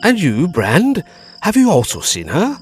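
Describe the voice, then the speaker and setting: Man acting a bold, curious gentleman, very animated. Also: high voice, measured pace, soft background music, exaggerated voice. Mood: intrigued, bold. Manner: theatrical, old-fashioned, inquisitive. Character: bold, curious gentleman friend, fascinated by ghosts. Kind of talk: period ghost story audio drama acting.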